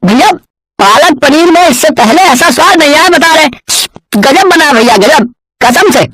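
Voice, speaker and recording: A well-off male bird from a children's cartoon, acted by a woman, hearty and chatty. The voice is high, with folksy, effusive exclamations. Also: lively intonation, moderate pace, a bit bright, no room sound, low-quality recording. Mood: effusive, jovial. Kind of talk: children's cartoon story acting.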